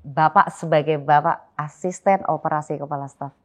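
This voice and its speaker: A woman's voice: low voice, fairly even intonation, a little fast. Chatty woman interview host. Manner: chatty, adds tag words to her sentences. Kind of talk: casual interview.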